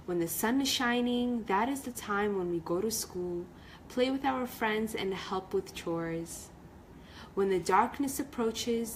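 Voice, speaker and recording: Woman reading kids book on a live stream, chatty, average pitch, some bg noise. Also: poor audio. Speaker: author and storyteller. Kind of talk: children's story reading on a casual livestream.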